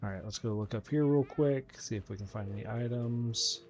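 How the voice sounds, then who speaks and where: A man's voice: medium pitch, moderate pace, a little mellow, lo-fi sound. A man giving casual, explanatory commentary as he hosts a video game playthrough. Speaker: gaming video host.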